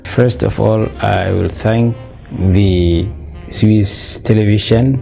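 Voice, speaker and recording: Somali-born man in his 50s, mellow tone, measured interview answers with fillers, faint guitar behind. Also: fairly low pitch, fairly even intonation, vocal fry. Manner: measured, with frequent uh fillers and restarts. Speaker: interview guest, business owner.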